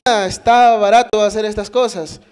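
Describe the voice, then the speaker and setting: A man with a Peruvian accent speaks at a technical conference through a microphone. His voice sits a little high, and he explains step by step, pausing to put questions to the audience. He often breaks off and restarts his sentences mid-thought. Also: steady tone, darkish tone, clean tone. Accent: Peruvian Spanish. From Peru. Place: conference hall.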